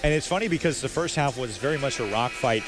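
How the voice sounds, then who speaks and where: A man's voice: mid-range voice, quick pace, music in the background. An American man giving live color commentary and analysis from a noisy arena broadcast. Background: arena ambience.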